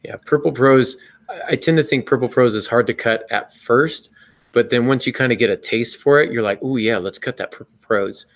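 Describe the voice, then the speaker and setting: A man's voice: medium pitch, fairly quick. A man hosting a podcast with casual, joking chat, enthusiastic and somewhat rambling. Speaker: podcast host.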